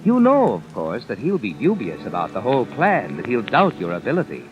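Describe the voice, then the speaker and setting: An actor playing a sinister, knowing narrator in an old radio mystery, taunting and insinuating in the second person. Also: male voice, mid-range voice, huge pitch swings, brisk. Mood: sly, knowing. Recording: muffled vintage radio broadcast over music.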